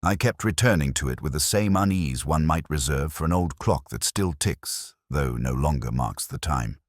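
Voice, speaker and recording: A man playing a brooding, erudite middle-aged detective who narrates a mystery in measured, literary phrases. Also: a bass voice, expressive, no echo, clean studio sound. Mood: brooding, reflective. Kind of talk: scripted first-person mystery narration, audio drama.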